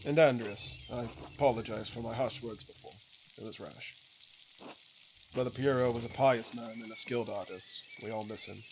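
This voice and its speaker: A man's voice: mid-range voice, moderate pace, lightly acted. Stern, resentful monk read by a man. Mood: formal, restrained. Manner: pointed, formal. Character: resentful, stern male abbey monk, formally polite. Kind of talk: reading video game dialogue. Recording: clear sizzling ambient noise behind voice.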